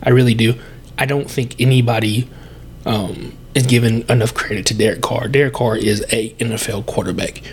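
A man's voice: medium pitch, fairly even intonation, normal speed, a little hiss or hum. A young adult man hosting a solo sports podcast, congested and with a sore throat, chatty and breathing heavily as he corrects himself. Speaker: podcast host.

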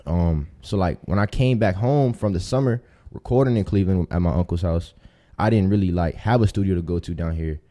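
Podcast guest: American male, early twenties, low mellow voice, loose slangy storytelling. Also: a little fast. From Tampa, Florida, United States. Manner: slangy, informal street talk. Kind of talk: casual chat, storytelling.

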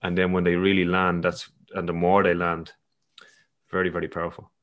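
Low, flat-voiced Irish man. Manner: halting, loose phrasing with many fillers. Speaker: podcast host. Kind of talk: casual reflective conversation.

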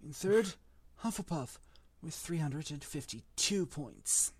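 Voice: medium pitch, expressive, takes it slowly, husky, dry recording, full character voice. A reader voicing an old, whimsical male headmaster giving a genial, rambling speech. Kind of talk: audiobook reading.

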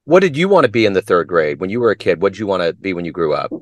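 Male podcast host, upbeat questioner, expressive intonation, dry sound. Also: deep voice, racing, no room sound. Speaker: interview host. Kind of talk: interview questions.

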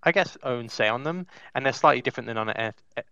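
A man explaining things casually in a halting, hedging way, as a co-host on an online voice chat panel. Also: medium pitch, gravelly, sharp tone, clear, resonant tone, no room sound. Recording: dull, dry lo-fi online audio.

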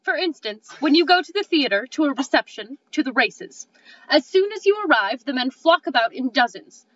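Female reader as haughty, severe gentleman suitor, formal and declamatory, bright tone, lo-fi. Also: high voice, animated, normal speed, dry recording. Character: proud, severe, self-important male suitor. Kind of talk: cold-read comedic stage play. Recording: dull, dry lo-fi sound.

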